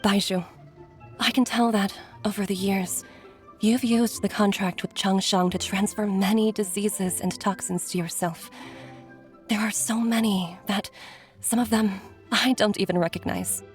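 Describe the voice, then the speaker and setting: A woman's voice: medium pitch, steady tone, unhurried, soft background music, natural voice. A woman voicing a caring middle-aged doctor and mother who speaks earnestly with frequent sighs. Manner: earnest, sighing, concerned.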